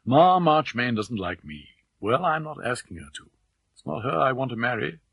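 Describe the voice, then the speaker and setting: Man acting a brash businessman suitor, audiobook character, blunt, boastful, slangy, swinging pitch, husky. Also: lively intonation, moderate pace, a bit bright, no echo, exaggerated voice. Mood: aggrieved, dismissive. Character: brash, self-assured Canadian businessman suitor, bluntly bargaining.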